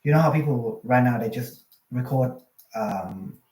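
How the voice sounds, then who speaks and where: A man's voice: mid-range voice, a little slow, slight background noise. A man, chatting casually over a roomy video call. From Thailand. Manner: relaxed, chatty, many fillers like "like" and "you know". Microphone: phone on a video call, no external mic.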